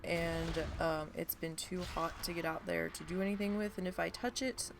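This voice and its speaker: Gravelly, stuffy-nosed woman streamer. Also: lowish voice, fairly even intonation, normal speed, slight background noise. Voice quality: nasal, stuffy from allergies. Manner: chatty, rambling, many ums. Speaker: game streamer. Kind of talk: casual live-stream chat.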